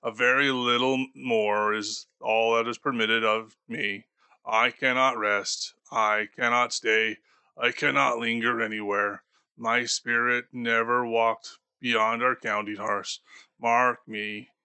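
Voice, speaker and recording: A man voicing a doomed, grieving male ghost bound in chains, speaking slowly and gravely for an audiobook. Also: medium pitch, level tone, sharp tone, no room sound, heavily acted. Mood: mournful. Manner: slow, solemn and grave. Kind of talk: audiobook character voice.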